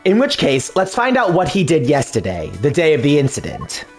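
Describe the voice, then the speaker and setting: A man voicing a composed, formal male prosecutor who questions a suspect in precise, measured phrases. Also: fairly high pitch, wildly swooping pitch, faint music behind, voice pushed down. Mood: probing. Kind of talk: fan dub of a video game.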